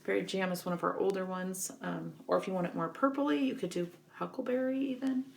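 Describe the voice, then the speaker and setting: A woman's voice: medium pitch, brisk, gravelly. Scratchy-voiced woman teaching a craft. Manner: relaxed, chatty, many ums. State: getting over a cold, scratchy voice. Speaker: craft instructor. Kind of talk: scrapbooking tutorial.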